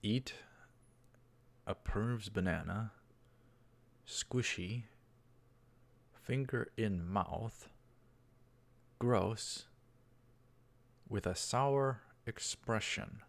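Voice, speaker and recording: A man's voice: lowish voice, drawn-out words, vocal fry, long pauses, voice pushed up, exaggerated voice. A man voicing a lecherous, pushy male nerd in a visual novel read-through, leering and pleading.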